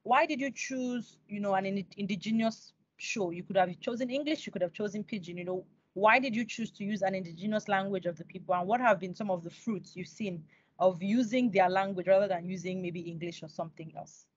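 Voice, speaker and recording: A woman's voice: medium pitch, a little fast, no room sound. A young woman interviewing in an encouraging, conversational way as a podcast host. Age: young adult. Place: indoors on a video call. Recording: dry but dull video call.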